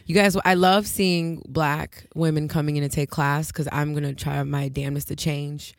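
A young woman with a Chicago accent, a yoga instructor chatting as a guest on a podcast, bubbly and quick to laugh. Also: low-pitched, little pitch movement, no room sound, clean studio sound. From Chicago, USA. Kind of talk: casual podcast interview.

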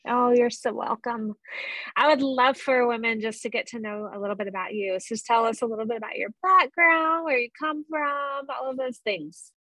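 A woman hosts a church podcast, greeting her guest with effusive warmth and plenty of ums. Her average-pitched voice is recorded close and dry, with no room sound. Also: fairly even intonation, normal speed, no echo, low-quality recording. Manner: effusive, welcoming, with frequent fillers. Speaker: podcast host. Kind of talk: casual podcast interview.

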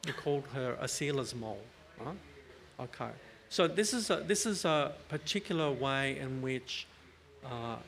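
A man speaking as a panel guest, measured and halting, with many fillers as he works through his points. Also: mid-range voice, a bit bright, slight background noise. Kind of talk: panel discussion.

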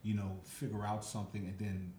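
A man hosting an interview, talking in a relaxed, encouraging way and using fillers like "you know" and "man". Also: lowish voice, brisk. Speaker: podcast host. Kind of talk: casual interview chat. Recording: roomy echo with faint noise.

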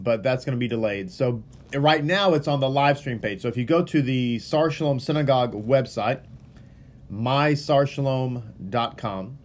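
Rabbi guy chatting to his livestream, earnest, keeps tripping over his words and circling back, middle-pitched voice. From Texas. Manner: rambling and earnest, with frequent stumbles and repeated words. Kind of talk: casual livestream welcome before a Torah reading.

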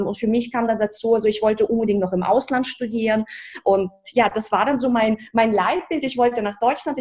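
A woman in her thirties whose first language is Russian, who grew up in Russia, telling her life story as an interview guest with many fillers and restarts. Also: fairly high pitch. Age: 30s. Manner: lively, reflective, frequent fillers and restarts. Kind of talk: personal storytelling in a talk.

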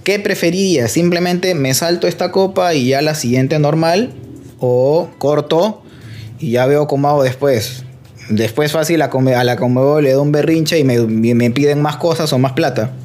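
A man's voice: on the high side, moderate pace, a little mellow, soft background music. A man co-hosting a casual podcast chat, explaining football news at length with playful asides. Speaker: co-host.

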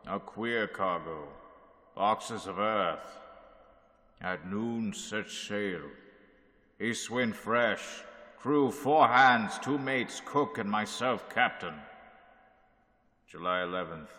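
A man's voice: on the low side, takes it slowly, long pauses, sharp tone, long reverb tail. A man playing a weary sea captain who reads his ship's log in clipped entries, in a radio drama reenactment. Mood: grim. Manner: clipped log-entry delivery. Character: weary ship captain keeping a grim log.

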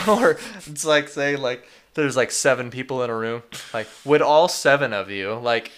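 A man's voice: medium pitch, a little slow, a bit bright. A young man from Texas in casual chat, laid-back, swearing often and saying "dude" and "like".

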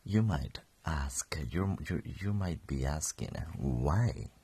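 Gravelly deep-voiced young man, just woken. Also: expressive, takes it slowly, dark tone. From Colombia. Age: 20s. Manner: rambling, self-correcting, chuckling. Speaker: podcast host. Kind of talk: casual solo podcast.

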